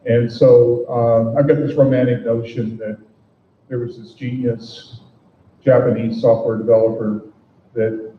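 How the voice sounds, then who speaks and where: An older man from the audience asks questions, pausing often and laying out his background before he gets to his point. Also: lowish voice. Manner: hesitant, explains his background at length. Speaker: audience member asking questions. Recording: roomy, distant, dull lo-fi sound with faint noise.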